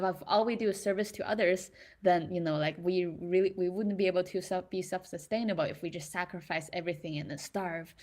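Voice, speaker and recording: A woman's voice: on the low side, darkish tone. Thoughtful young woman from China. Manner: thoughtful, many fillers and restarts. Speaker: creator in residency. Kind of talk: reflective casual talk.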